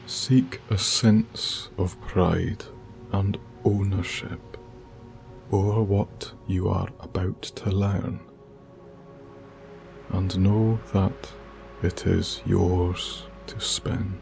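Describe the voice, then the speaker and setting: A man with a low, mellow, hushed voice guides a meditation in ASMR style. He speaks extremely slowly and soothingly, leaving long pauses between short phrases. His soft delivery is unhurried and deliberate throughout. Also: level tone, gravelly. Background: music with binaural beats.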